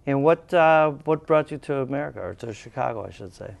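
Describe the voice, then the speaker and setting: A man hosting a talk show interview, speaking haltingly with many uhs and restarts. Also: medium pitch, animated, creaky. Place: cable TV studio.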